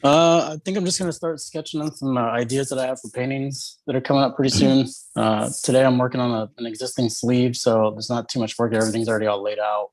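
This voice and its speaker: A man's voice: medium pitch, fairly even intonation, fast, few pauses, rough recording. A man chatting casually on a video call about what he is drawing, easygoing with fillers. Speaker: artist on a call. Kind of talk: casual chat.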